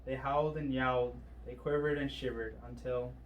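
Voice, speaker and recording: Male storybook reader, mid pitch, distant mic, slight noise. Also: steady tone, moderate pace, dull audio. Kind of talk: read-aloud children's story narration.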